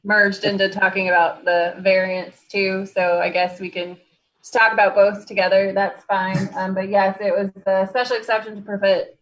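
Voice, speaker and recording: A woman's voice: medium pitch, a bit bright, highs cut off. A woman on staff at a public meeting, clarifying procedure in a matter-of-fact official way. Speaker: zoning board staff member.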